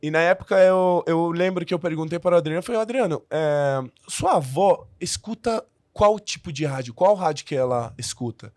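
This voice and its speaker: A man's voice: fairly high pitch, animated, moderate pace, hardly any pauses, darkish tone, no echo, studio quality. A man who learned the language as an adult, a podcast guest telling his story in a casual way with many restarts and fillers.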